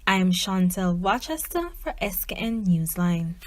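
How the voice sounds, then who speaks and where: A woman's voice: lowish voice, big pitch swings, measured pace, music in the background. A woman reading a scripted news report as a reporter.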